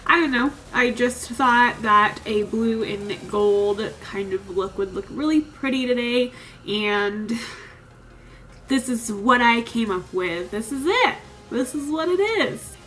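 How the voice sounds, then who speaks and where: A woman's voice: fairly high pitch, expressive, slow, fairly bright tone, a little hiss or hum, low-quality recording. A young woman in her twenties chatting casually through a makeup tutorial, a bit nasal from a cold. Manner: chatty and upbeat, lots of "like" and "super". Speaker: beauty vlogger. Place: small room at home with a sparkly backdrop.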